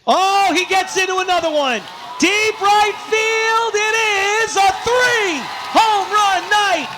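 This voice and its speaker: A man's voice: extremely high pitch, takes it slowly, over a noisy crowd, very noisy. A man doing live baseball play-by-play as the announcer, in short, emphatic phrases. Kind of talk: live sports play-by-play commentary.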